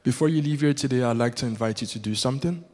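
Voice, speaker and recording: A man's voice: mid-range voice, rapid-fire, darkish tone. A man whose first language is Yoruba, preaching a sermon as a guest preacher in an earnest, rhythmic way that builds with repetition. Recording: long hall reverb.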